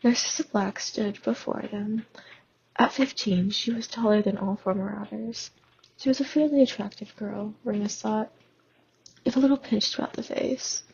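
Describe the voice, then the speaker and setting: A woman with a sore, hoarse throat reading fanfiction aloud in a casual way, stumbling and restarting now and then. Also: mid-range voice, steady tone, moderate pace, darkish tone, lo-fi sound, a little hiss or hum. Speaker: fan audiobook reader.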